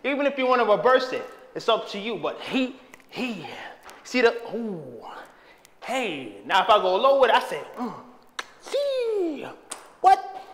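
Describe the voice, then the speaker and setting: Young male dance teacher, high lively voice, calls and counts out steps while moving, bare echoey room. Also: a little slow. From Haitian background. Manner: energetic, hypes and shouts while dancing. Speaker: dance instructor. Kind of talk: dance tutorial, counting and calling moves. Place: empty room with bare walls, indoors.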